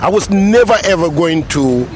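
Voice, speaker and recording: A man giving a firm, deliberate opinion to a street interviewer outdoors. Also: a little high, expressive, a little mellow, a little hiss or hum. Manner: argumentative, deliberate. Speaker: passer-by interviewee. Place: roadside in a city, outdoors. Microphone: handheld mic held by interviewer.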